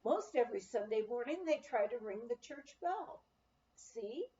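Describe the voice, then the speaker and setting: A woman's voice: a little high, animated, measured pace, mellow, slight background noise. A woman reader voicing a plump, jolly small-town grocer, kindly and amused, in a memoir reading. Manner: folksy, reassuring, amused. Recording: roomy, slightly dull home recording.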